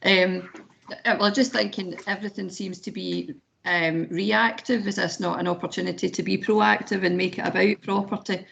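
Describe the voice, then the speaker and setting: A hoarse woman with a croaky voice, speaking up in an online roundtable discussion and pushing for a more proactive approach. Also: medium pitch, fairly even intonation, normal speed, a bit bright, muffled recording. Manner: questioning, pushes for a proactive approach. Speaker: meeting participant.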